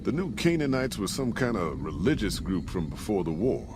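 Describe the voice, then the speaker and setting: An American actor playing a gruff, folksy caravan boss in a post-apocalyptic video game, with plain-spoken frontier drawl. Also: male voice, on the low side, big pitch swings, normal speed, background noise. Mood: matter-of-fact. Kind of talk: scripted video game character dialogue.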